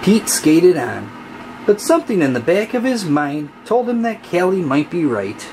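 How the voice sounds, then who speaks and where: A narrator reading a children's picture book aloud in an expressive, deliberate way. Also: medium pitch, slight background noise, poor audio. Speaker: storybook narrator.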